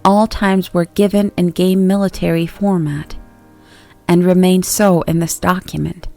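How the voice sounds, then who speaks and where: A woman with a fairly low voice narrates a creepypasta from a script. Her reading is measured and deliberate. Faint music plays behind her. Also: fairly even intonation, vocal fry, mellow. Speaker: horror story narrator.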